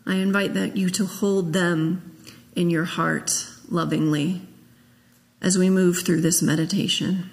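A woman minister leading a guided meditation, speaking gently and in a measured way with long pauses. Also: lowish voice, fairly even intonation, gravelly. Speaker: minister leading a memorial service. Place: large church sanctuary, at a pulpit. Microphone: gooseneck pulpit microphone. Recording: long echo of a church hall.

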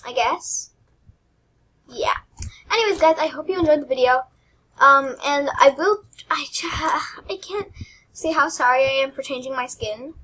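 Squeaky high-voiced young woman gamer. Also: a little slow, pushed and bright. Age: teens. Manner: rambling, giggly, self-deprecating. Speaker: gaming channel host. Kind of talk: casual gameplay commentary.

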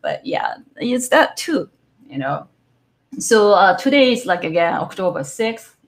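A middle-aged woman with a Japanese accent talks casually over a video call from home, hedging and correcting herself with plenty of "so, yeah". Her voice sits fairly low and has a gravelly, fry-tinged edge. Her first language is Japanese. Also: sing-song, measured pace. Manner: chatty, lots of fillers and self-corrections. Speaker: guest developer speaker. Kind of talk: casual live-stream tech talk. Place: home room, indoors. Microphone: video call webcam.

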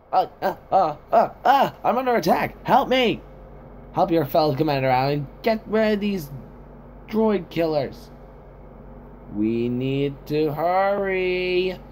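An excitable sci-fi war commander played by an amateur man barks urgent game callouts. His voice sits fairly high and swings widely in pitch. The words come haltingly and rather slowly, as homemade battle lines. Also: slight background noise. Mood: frantic shouting.

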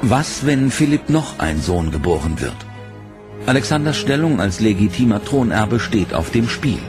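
A man narrating a history documentary from a script, in a measured, dramatic way. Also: on the low side, big pitch swings, music in the background.

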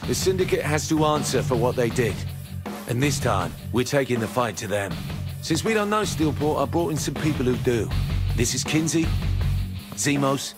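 An actor playing a tough, cocky, take-charge gang boss with a gravelly voice in a video game. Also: male voice, mid-range voice, expressive, normal speed, music in the background, lightly acted. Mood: commanding.

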